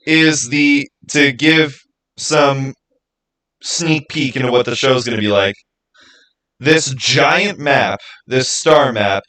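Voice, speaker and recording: Male streamer, mid-range voice, casual chat, halting delivery, thinking aloud, repeats phrases. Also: medium pitch, fairly bright tone, poor audio. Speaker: livestream host. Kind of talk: casual livestream chat, answering viewer questions.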